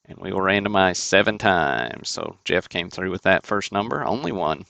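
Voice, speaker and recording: A deep-voiced man hosts a card break stream from his desk, chatting casually and thinking aloud as he works. His voice has a rough, gravelly texture. The sound is dry and close to the mic. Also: expressive, normal speed, pushed and bright, no room sound. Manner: chatty, thinking aloud with many fillers and restarts. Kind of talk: casual livestream commentary.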